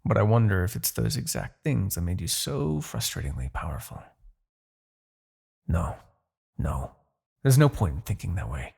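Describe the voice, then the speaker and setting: A man playing a smug, menacing demon king, obsessed with his rival, in a theatrical, deliberate fantasy roleplay monologue with a husky, gravelly voice. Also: sits low, very animated, a little mellow, full character voice. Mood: triumphant. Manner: theatrical, gloating, deliberate, grandiose.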